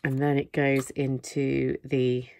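A woman's voice: rumbling low, flat delivery, takes it slowly, vocal fry, warm tone, dry recording. A woman hosting a craft channel, chatting casually through a product haul while losing her voice. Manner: chatty, rambling with many fillers. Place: indoors at a craft desk. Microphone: camera overhead, hands in frame.

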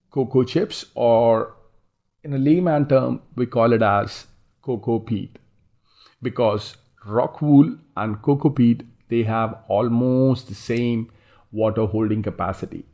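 Man with a mellow, measured voice. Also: mid-range voice. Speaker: instructor, farming consultant. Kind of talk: slide presentation, scripted reading with explanation.

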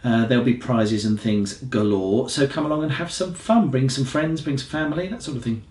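A man's voice: medium pitch, a little fast, a little mellow. A man in his forties with an English accent, a pastor giving informal, laid-back church announcements. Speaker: senior pastor co-presenting a church newsletter. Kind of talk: casual announcements to camera. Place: small living room, on a sofa. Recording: roomy, echoey living room.

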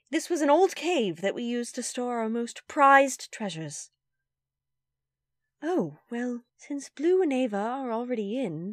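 Woman voicing a gracious high-voiced dog queen. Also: no room sound, studio quality. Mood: flustered, encouraging. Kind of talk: animated character audition lines.

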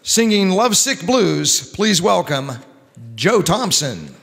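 A middle-aged man hosting in an old-time radio announcer style, with a brisk, showy, emphatic delivery. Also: sits high, very animated, creaky, a bit bright, slightly rough, soft background music. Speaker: radio show host. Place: large wooden church hall on stage. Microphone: microphone on a stand. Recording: echoey hall.

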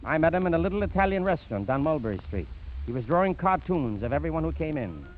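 High-pitched, florid 1930s radio showman. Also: very animated, quick pace. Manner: florid, theatrical showman's patter. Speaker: radio host. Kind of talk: 1930s radio variety show introductions. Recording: noisy, dull 1930s radio broadcast.

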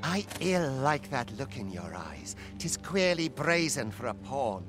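An actor playing a sneering, cruel overseer in a fantasy game, with taunting, theatrical, archaic speech. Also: male voice, mid-range voice, very animated, measured pace, music in the background. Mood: menacing, mocking. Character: sneering, cruel male overseer of pawn laborers.

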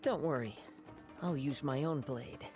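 An actor playing a calm young wandering male swordsman in a video game, philosophical and measured, resolute yet gentle. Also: medium pitch, over loud music, close to an everyday voice. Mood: calm, firm. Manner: measured, reflective, composed.

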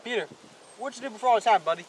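A man's voice: high voice, very fast, a little hiss or hum, poor audio. A young man rehearsing film dialogue in a hesitant, laid-back way, recorded outdoors on a camera mic. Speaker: amateur actor in film outtakes. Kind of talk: rehearsing scripted dialogue, casual. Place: outdoors in woods.

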